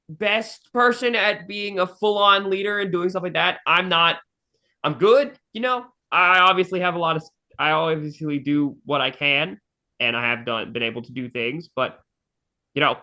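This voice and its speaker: A man's voice: on the high side, pushed and bright. A man talking casually and earnestly, with emphatic praise and reflection, as a podcast host. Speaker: fandub director and podcast host. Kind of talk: casual chat.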